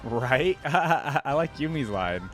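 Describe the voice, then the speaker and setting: A man's voice: medium pitch, big pitch swings, takes it slowly. A man giving casual, off-the-cuff reaction commentary as the host of a video. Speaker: YouTube reactor hosting a video. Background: game voice lines and music.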